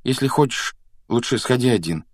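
Storybook role: earnest, stubborn young boy, read by a man, lifted pitch, dry sound. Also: animated, normal speed, dry recording, close to an everyday voice. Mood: curt. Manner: hesitant or heated, boyish. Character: stubborn, earnest young schoolboy longing for family.

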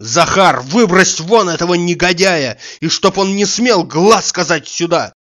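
A man voicing an indignant, furious gentleman in an audiobook, shouting commands. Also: sits high, expressive, measured pace, pitched above the performer's own voice. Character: indignant gentleman pushed into thunderous rage. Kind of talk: dramatised audiobook dialogue.

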